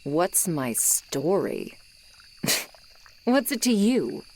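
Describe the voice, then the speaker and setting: A woman playing a gruff, lonely swamp monster girl who was once human, in a grudging, guarded and intimate ASMR roleplay delivery. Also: lowish voice, very animated, drawn-out words, darkish tone, a little hiss or hum, exaggerated voice. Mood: guarded, touched. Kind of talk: ASMR roleplay, acted monologue to the listener. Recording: swamp ambience of crickets and frogs. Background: insects and frogs, swamp night ambience.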